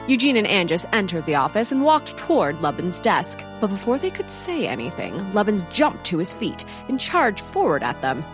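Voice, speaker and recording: A woman's voice: medium pitch, sing-song, normal speed, hardly any pauses. A woman narrating a comic audio drama from a script in a dramatic storytelling style. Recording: music under the narration. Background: music.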